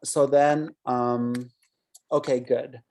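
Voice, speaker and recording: A woman's voice: rumbling low, steady tone, takes it slowly, warm tone. A woman acting as an interview coach, asking probing questions and thinking aloud as she guides a mock interview.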